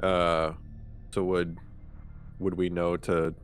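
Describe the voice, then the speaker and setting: A man's voice: low voice, little pitch movement, takes it slowly, faint music behind. A man chatting casually as a player in a tabletop game, tentative and questioning.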